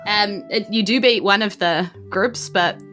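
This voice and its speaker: Bright-voiced New woman running a game. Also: mid-range voice, unhurried, soft background music. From New Zealand. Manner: casual, hedging with frequent "like" and "you know". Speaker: game master. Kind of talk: improvised tabletop game narration.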